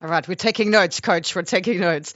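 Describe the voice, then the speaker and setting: A woman's voice: fairly low pitch, a little fast, fairly bright tone, no echo, lo-fi sound. A woman hosting a casual, playful interview in a chatty, teasing way. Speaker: sports show host, interviewer.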